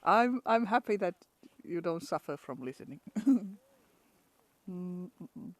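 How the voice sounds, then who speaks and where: A woman's voice: fairly low pitch, animated, unhurried, no echo. A woman from Finland with a Finnish accent, chatting casually outdoors in a pine forest for a travel vlog and laughing often between restarts. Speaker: travel vlogger.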